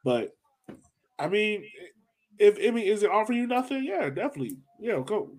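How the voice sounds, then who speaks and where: A man's voice: high voice, big pitch swings, a little fast, darkish tone. A man hosting a casual sports discussion, speaking informally and conversationally. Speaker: podcast host.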